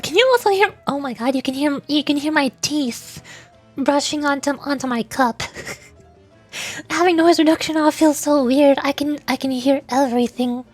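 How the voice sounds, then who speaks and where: High-pitched woman streamer chatting. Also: normal speed, soft background music. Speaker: streamer, VTuber. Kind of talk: casual livestream chat.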